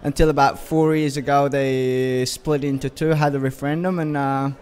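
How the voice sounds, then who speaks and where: A young man from Sudan speaks in a mid-range voice, answering interview questions. He pauses often and restarts his sentences, hunting for words as he goes. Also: medium pitch, level tone, a little mellow, clear, resonant tone. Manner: hesitant, many uh fillers and restarts. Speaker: footballer interviewee.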